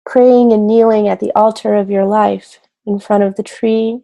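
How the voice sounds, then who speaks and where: A woman leading a guided meditation in a gentle, soothing way, unhurried and pausing between short phrases. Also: medium pitch, fairly even intonation, vocal fry.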